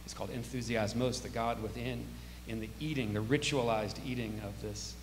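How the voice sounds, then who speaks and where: Male moderator, mid-pitched and brisk, intellectual and reflective, live in an echoey hall. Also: fairly even intonation. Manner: reflective, intellectual, appreciative of speakers. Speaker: moderator of an audience discussion. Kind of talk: live discussion moderating, thoughtful and off the cuff. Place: large auditorium with a balcony. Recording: echoey reverberant hall, faint hum and noise.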